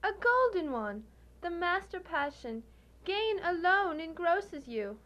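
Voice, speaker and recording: Very high-voiced, sorrowful young woman actress. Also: big pitch swings, a bit bright, lo-fi sound, full character voice. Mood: hurt, resigned. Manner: formal, earnest, measured. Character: sorrowful, dignified young woman, former sweetheart. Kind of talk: live student radio drama acting.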